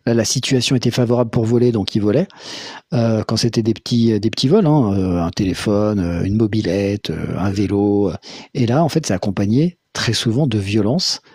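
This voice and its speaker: A man hosting a live call-in show who asks short follow-up questions and comments in a measured way, with many fillers. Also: fairly low pitch, a little mellow, breathy edge, dry recording, studio quality. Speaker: live stream host.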